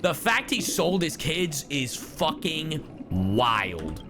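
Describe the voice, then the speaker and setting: A young man streaming a game reaction, talking casually, blunt and excitable, swearing freely. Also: fairly high pitch, slow. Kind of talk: casual live reaction commentary. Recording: game music playing behind.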